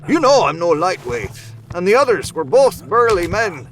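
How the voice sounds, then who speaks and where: An actor playing an earnest Victorian doctor, narrating a formal letter in an audio drama with careful storytelling. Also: male voice, high-pitched, big pitch swings, moderate pace, rough recording. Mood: urgent.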